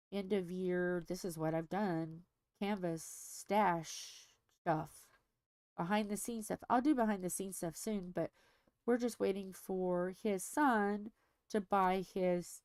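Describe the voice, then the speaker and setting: A woman's voice: medium pitch, a little mellow, clean tone, no room sound. A middle-aged woman hosting a diamond painting livestream, chatting casually and rambling as she thinks aloud with many fillers, a little stuffy.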